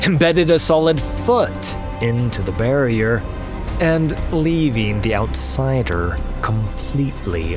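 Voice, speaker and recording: Male narrator for dramatic fantasy tale: unhurried, lowish pitch, scripted read over clear music.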